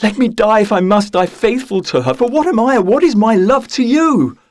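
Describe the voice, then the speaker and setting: An actor playing a faithful young lover in an audio drama, with an earnest, solemn and dramatic manner. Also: male voice, high voice, lively intonation, moderate pace, no echo. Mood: defiant, pleading.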